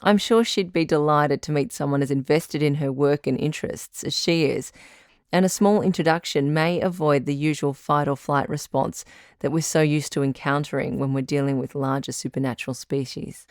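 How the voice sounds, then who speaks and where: An actress playing a calm, reassuring doctor who hosts a radio advice show, measured and frank in an audio drama. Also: female voice, low-pitched, dry recording, studio quality. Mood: gentle, encouraging. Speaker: radio call-in host.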